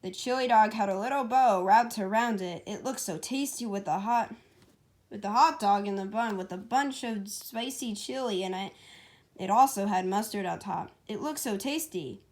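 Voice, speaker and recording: A woman's voice: mid-range voice, normal speed. A woman reading a story aloud as its amateur author, in an informal way, occasionally stumbling over the text. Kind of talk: casual storybook read-aloud.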